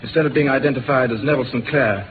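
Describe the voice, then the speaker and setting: An actor playing an earnest, anguished English gentleman confessing his secret in a 1950s British radio drama. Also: medium pitch, very fast, close to an everyday voice. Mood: earnest confession. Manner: earnest, hesitant, confessional storytelling. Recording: tinny noisy old radio recording.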